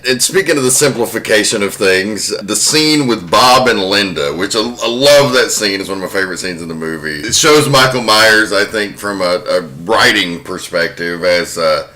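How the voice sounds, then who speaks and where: A male podcast host with a fairly low voice and a bright, forceful edge. He talks casually and emphatically, as in a relaxed discussion among film fans. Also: normal speed, few pauses.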